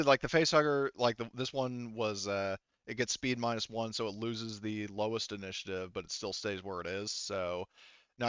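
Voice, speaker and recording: A man's voice: on the low side, fairly bright tone, clear, resonant tone, no echo, highs cut off. A man running a tabletop roleplaying game as game master, talking casually and hesitantly as he thinks through rules and rolls aloud. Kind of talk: casual unscripted game narration and rules talk.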